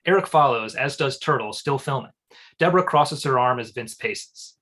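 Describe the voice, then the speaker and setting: A man's voice: medium pitch, expressive, moderate pace, fairly bright tone. A man reading screenplay stage directions as scripted narration at a comedy table read.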